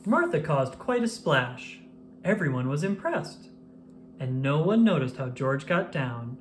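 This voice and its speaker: An expressive narrator reads a children's storybook at an unhurried, measured pace. The pitch moves around in a lively way for effect. Some background noise sits behind the voice.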